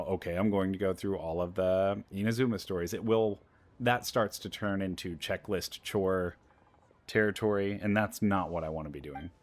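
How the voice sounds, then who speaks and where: A man giving casual gameplay commentary, chatty and rambling as he thinks aloud. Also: low-pitched. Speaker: let's play streamer.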